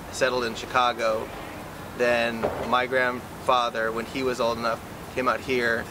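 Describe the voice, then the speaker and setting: A young student speaks in an even, fairly flat voice at a middle pitch, recounting family history matter-of-factly. He is recorded outdoors with steady street noise behind him. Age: young adult. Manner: relaxed, matter-of-fact storytelling. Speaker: student interviewee. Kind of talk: casual street interview.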